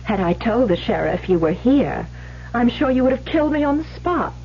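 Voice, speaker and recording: An actress playing a guarded, proud and defiant former stage actress in an old-time radio drama, clipped and hesitant when pressed. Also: female voice, fairly high pitch, very animated, normal speed. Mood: wary, scornful. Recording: muffled vintage radio broadcast, faint hum.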